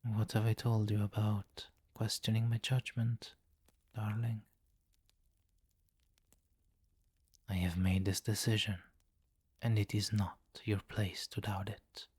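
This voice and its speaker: A man voicing a possessive, seductive vampire king in an intimate ASMR roleplay, speaking formally and deliberately and often dropping into a whisper. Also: lowish voice, flat delivery, a little mellow, breathy edge, no echo. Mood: stern, seductive. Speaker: audio roleplay performer.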